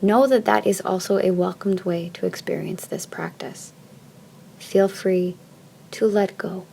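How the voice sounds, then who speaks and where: Soft, mellow woman guiding deep relaxation. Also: steady tone, creaky, a little hiss or hum. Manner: soft, soothing, measured phrasing with long pauses. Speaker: yoga nidra teacher. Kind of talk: guided meditation, slow instruction.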